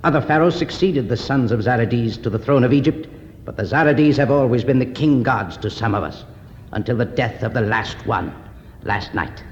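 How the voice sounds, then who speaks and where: An actor playing a solemn, commanding Egyptian cult leader in a 1950s radio drama, speaking in a formal, declamatory way. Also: male voice, medium pitch, lively intonation, normal speed, close to an everyday voice. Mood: solemn, resigned. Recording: muffled, noisy 1950s radio recording, reverberant.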